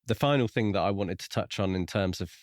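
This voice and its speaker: A man's voice: low-pitched, quick pace, no echo, clean studio sound. A man co-hosting a book podcast, discussing his views in a casual, conversational way. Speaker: podcast co-host reviewing books.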